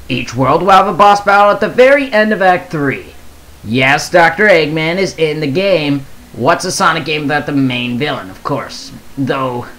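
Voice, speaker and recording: Casual gaming commentator with swinging, lively pitch. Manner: halting, stumbles over words while reading. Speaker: gaming video creator. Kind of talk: casual commentary, reading aloud a post.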